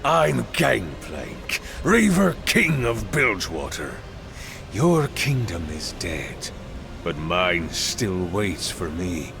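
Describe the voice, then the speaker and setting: Ruthless game pirate lord: very deep gravel, slow, scornful menace, music underneath. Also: male voice, big pitch swings, noisy background, full character voice. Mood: proud, menacing. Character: ruthless, ambitious male pirate king. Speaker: voice actor. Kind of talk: dramatic video game cutscene acting.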